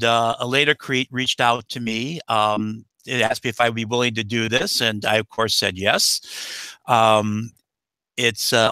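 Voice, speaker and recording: Halting American man with a bright voice. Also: mid-range voice, clean tone, no room sound. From United States. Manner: halting, many uh and um fillers. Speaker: guest expert, nuclear engineer. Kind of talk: answering interview questions.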